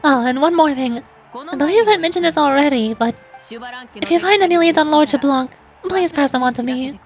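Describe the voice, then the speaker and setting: A high, bright-spirited female warrior leader performed by a woman from a video game. She is confident and commanding, with a playful teasing edge. Soft music plays behind her. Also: brisk. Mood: assured, instructing. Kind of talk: dramatic voice-over of video game dialogue.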